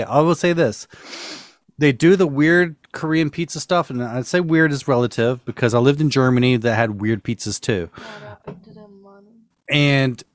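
A man hosting a podcast in casual chat, telling things with drawn-out emphasis. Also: a little high, no echo, studio quality. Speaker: podcast host.

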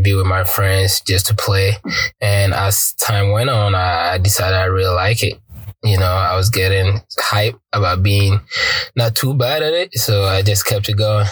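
A young man from Ghana speaks in a low, easygoing voice, pausing often with ums and starting phrases over. The sound is dry and close to the mic. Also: dry recording, low-quality recording. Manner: relaxed, halting, many ums and restarts. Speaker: guest, professional soccer player. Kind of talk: casual interview.

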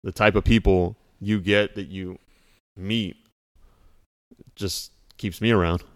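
A man's voice: low voice, fairly even intonation, slow pace. A man being interviewed as a new fitness coach, friendly and easygoing, often saying "like" and "you know". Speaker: CrossFit coach, guest. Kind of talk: casual interview chat.

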